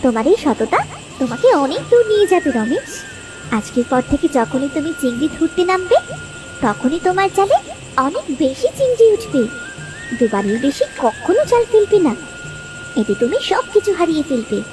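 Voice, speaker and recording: A woman voicing a gentle, solemn fairy who advises and admonishes in a Bangla cartoon. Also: high voice, big pitch swings, moderate pace, music in the background. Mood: solemn, admonishing. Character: gentle, solemn fairy granting gifts to the honest.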